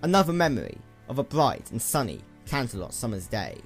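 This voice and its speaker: A man's voice: medium pitch, very animated, fairly quick, faint music behind. A man reading scripted fiction as a dramatic story narrator. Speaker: audiobook narrator.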